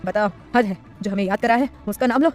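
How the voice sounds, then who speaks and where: A woman plays a grumpy young husband in a romantic audio story. The lines are curt, disbelieving and exasperated, delivered quickly with music faintly underneath. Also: medium pitch, a little mellow, close to an everyday voice. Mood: scoffing.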